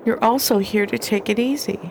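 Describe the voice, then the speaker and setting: A woman playing a composed, proper preacher's wife in a radio drama, practical and gently firm. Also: fairly high pitch, a little fast, gravelly. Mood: concerned, firm. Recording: faint background noise.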